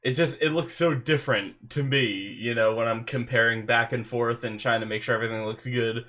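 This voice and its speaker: A man's voice: mid-range voice, brisk. A young man with a stuffy nose, chatting casually to his webcam from his bedroom and rambling with lots of fillers as he hosts an anime reaction. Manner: rambling, full of fillers and restarts, excitable. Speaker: anime reaction channel host. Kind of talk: casual rambling chat to camera. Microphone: webcam setup at a desk.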